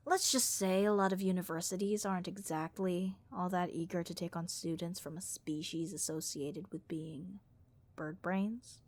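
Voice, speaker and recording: Woman acting a raspy, bored harpy copilot. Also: fairly low pitch. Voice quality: raspy vocal fry. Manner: overdramatic, deadpan complaining with drawn-out emphasis. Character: bored, snarky harpy airline copilot, chatty and reckless. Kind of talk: comedic audio roleplay monologue addressed to listener.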